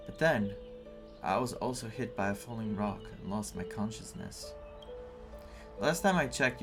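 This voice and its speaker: A man voices a loyal young male companion in a video game, serious and reserved. His mid-pitched delivery is measured and earnest, held back in feeling, with music underneath. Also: natural voice.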